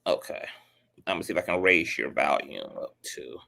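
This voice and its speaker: A man's voice: a bass voice, expressive, a little fast, creaky, bright, forceful tone. A man hosting a casual livestream chat, sassy and chatty, calling everyone girl and honey. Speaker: host of a commentary livestream.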